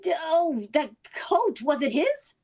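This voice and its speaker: Insistent, worried wife played by a woman, old-time radio acting; high voice with big swings. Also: sing-song, slow pace, a little mellow, heavily acted. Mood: alarmed. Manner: urgent, breathless pleading. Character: anxious, pleading, insistent wife hunting for answers. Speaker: actor in radio drama. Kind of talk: vintage radio drama acting.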